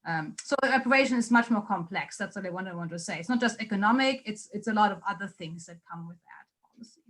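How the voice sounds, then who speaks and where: A woman with a German accent answers an audience question after a lecture, in a measured and thoughtful way. She pauses often and fills the gaps with ums. Her voice sits at a mid pitch and comes over a video call. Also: lively intonation, fairly bright tone. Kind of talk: academic Q&A answer.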